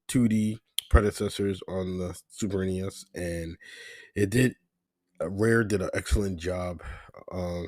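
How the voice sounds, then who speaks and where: A man with a very deep voice talks through his picks in a loose, rambling way, leaning on fillers. His voice is hoarse from a cold, and the recording is close and dry with no room sound. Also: dry recording. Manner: rambling, laid-back, many fillers. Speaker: gaming channel host. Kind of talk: casual ranking commentary.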